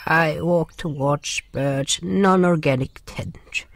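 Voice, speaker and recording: A man playing a gentle, sorrowful creature who narrates an audio drama in a deliberate, solemn way. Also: fairly high pitch, gravelly, no room sound, heavily acted. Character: gentle, sorrowful non-human narrator River, caring companion.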